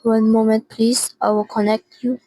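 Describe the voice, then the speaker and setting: A woman's voice: a little high, level tone, normal speed, warm tone, a little hiss or hum, lightly acted, rough recording. A teenage girl acting in a radio drama as a formal, detached female telephone operator with a polite, routine manner. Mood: procedural.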